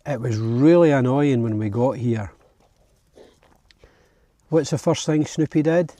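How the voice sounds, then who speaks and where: A man with a Scottish accent and a husky voice, chatting casually and informally to camera outdoors as a camping vlogger. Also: medium pitch, a little slow, no room sound. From Scotland. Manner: relaxed, informal, chatting as he goes. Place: outdoors at a lochside campsite.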